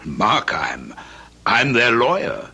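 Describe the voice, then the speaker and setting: An actor playing a stern, tight-fisted middle-aged father and lawyer in a radio drama, curt and deliberate. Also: medium pitch, very animated, creaky, pushed and bright, husky, full character voice. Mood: calculating, persuasive. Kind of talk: old-time radio drama acting.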